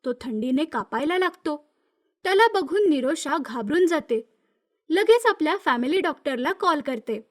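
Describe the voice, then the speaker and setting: A woman's voice: sits high, expressive, normal speed. A woman reading scripted narration for a children's moral story.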